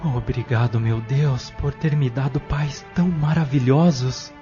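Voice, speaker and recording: A man's voice: mid-range voice, fairly even intonation, measured pace, natural voice. A man playing a devout, wondering young man in an audio drama, earnest and awed. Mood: grateful. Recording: muffled lo-fi, loud music behind.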